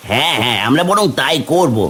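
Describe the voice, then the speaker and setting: A man acts out an outspoken village market seller for a cartoon. The voice is bright, forceful and a bit high, insistent. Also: lively intonation, brisk, slightly rough, full character voice. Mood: pleading, insistent. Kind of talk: Bengali cartoon voice acting.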